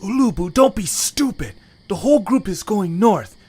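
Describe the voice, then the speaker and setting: A man's voice: sits high, animated, normal speed, darkish tone, husky. A man playing an anxious, earnest young creature in an amateur audio drama, hesitant and pleading. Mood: pleading, upset.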